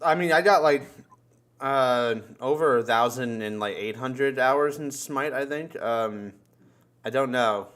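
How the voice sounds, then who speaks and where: A man's voice: mid-range voice, measured pace, fairly bright tone. A man chatting casually as a podcast host, joking around and swearing freely. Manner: profane, joking. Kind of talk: casual chat.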